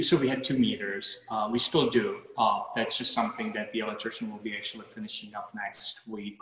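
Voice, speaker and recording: A man's voice: fairly low pitch, pushed and bright. A man in his thirties from the Czech Republic, a homeowner chatting on a live house tour, with many fillers and restarts. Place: large bare room with hard floors, indoors. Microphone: camera a few steps away. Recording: echoey room with long reverb.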